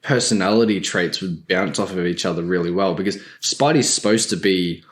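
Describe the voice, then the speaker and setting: A man with a fairly low voice talks casually with friends on a panel. He rambles along in slang and gives his opinions bluntly. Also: a little fast. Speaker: podcast panelist. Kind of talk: casual group chat.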